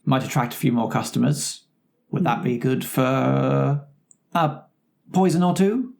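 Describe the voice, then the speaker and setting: A player voicing an adventuring painter in a tabletop game, polite, flattering and pleased with their own art, talking in a wordy, musing way. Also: mid-range voice, unhurried, creaky. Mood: cheeky, bargaining.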